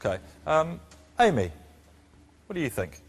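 A man hosting a studio debate, steering the talk briskly between guests. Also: a little high, big pitch swings, soft background music. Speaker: debate show host.

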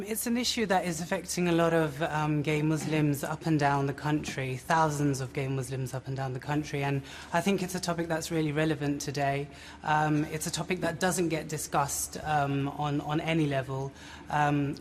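A man's voice: a little high, fairly even intonation. Hesitant man, faint room noise. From Britain. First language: Urdu. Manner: measured, hesitant with fillers. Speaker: audience guest asking a question.

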